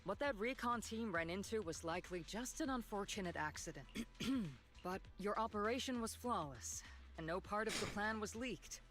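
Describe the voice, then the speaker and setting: An actress voicing a composed, analytical military colonel in a game, measured and serious. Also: female voice, medium pitch, lively intonation, a bit bright, faint music behind, natural voice. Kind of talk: game story voice acting.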